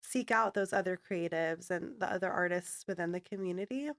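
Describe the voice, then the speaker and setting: A woman's voice: on the low side, fairly quick. Earnest woman podcast host. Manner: affirming, earnest. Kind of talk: casual interview talk. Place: podcast studio.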